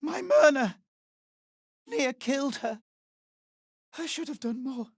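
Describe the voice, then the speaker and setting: A desperate gnome woman from a fantasy video game pleads with raw emotion. Her voice is high and swings widely in pitch, with slow, anguished phrasing. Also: lively intonation, vocal fry, no room sound, studio quality, heavily acted. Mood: pleading, grieving. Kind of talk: video game character dialogue.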